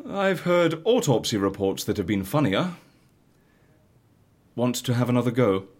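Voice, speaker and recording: An eccentric, exasperated interviewer from a comedy audio drama. His voice sits at an ordinary male pitch but swings wildly up and down. He is bossy and theatrical, relishing his incredulity. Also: big pitch swings, measured pace. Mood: bossy, dry.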